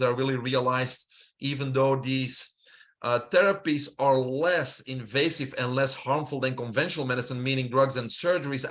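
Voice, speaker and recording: A middle-aged man with a Belgian accent speaks as an interview guest, at a middle pitch. He explains things in a steady, unhurried way and drops in frequent "uh" fillers. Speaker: guest, holistic health mentor. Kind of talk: interview answer, casual.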